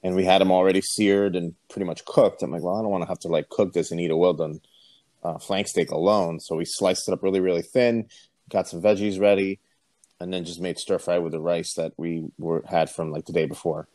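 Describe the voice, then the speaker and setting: A man from Puerto Rico co-hosting a podcast, telling stories in a relaxed, easygoing way with plenty of ums. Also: sits low, brisk, no room sound. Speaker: podcast co-host. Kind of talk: casual chat.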